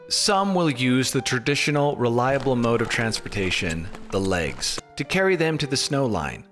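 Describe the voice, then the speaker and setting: A man reading scripted, mock-documentary narration in a deadpan, tongue-in-cheek formal way. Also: mid-range voice. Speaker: narrator of a skiing explainer video. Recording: music bed under voice-over. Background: music.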